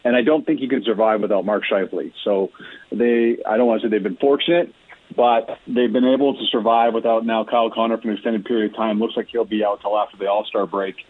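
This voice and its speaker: A man's voice: lowish voice, steady tone, fast talker, breathy edge, dry recording. A man talking through hockey analysis conversationally as a guest reporter, often restarting his phrases as he goes. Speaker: hockey team reporter and podcaster, radio guest. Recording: dull lo-fi radio call-in audio.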